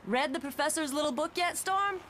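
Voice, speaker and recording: A woman's voice: sits high, fairly quick, a bit bright. A young woman acting a bantering, defensive superheroine in a motion comic. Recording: clear background ambience noise.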